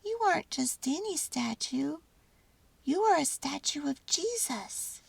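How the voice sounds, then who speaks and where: A woman voicing a tiny, excitable, tender-hearted male mouse with a squeaky, expressive voice in a children's story. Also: unhurried, creaky, no echo, heavily acted.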